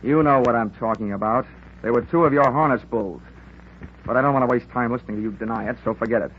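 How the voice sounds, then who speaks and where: An actor playing a world-weary, hard-boiled insurance investigator in a 1950s radio drama, with wry, sardonic, slangy delivery. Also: male voice, medium pitch, fast, lightly acted. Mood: brash, impatient. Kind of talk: 1950s radio drama acting with first-person narration. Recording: muffled, noisy vintage radio recording.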